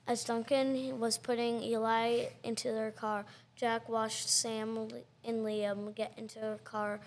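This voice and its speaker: High-voiced woman narrating a story. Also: slight background noise. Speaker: narrator of a youth audio drama.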